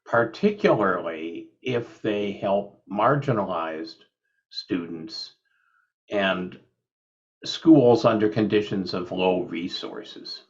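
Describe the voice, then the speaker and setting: Slow, deliberate male podcast host. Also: sits low, expressive. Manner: slow, deliberate, with many fillers. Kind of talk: framing a video call discussion. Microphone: video call. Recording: dull lo-fi call audio.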